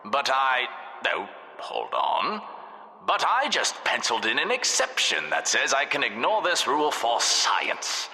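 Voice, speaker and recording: An actor playing a gleefully sadistic, petty scientist narrating a video game test, theatrical and sarcastic, over a reverberant announcer microphone. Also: male voice, mid-range voice, unhurried, gravelly, exaggerated voice. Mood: smug, officious. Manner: condescending, deadpan sarcasm breaking into indignant outbursts. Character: gleefully sadistic, petty mad male scientist supervising tests. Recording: echoey hall with long reverb.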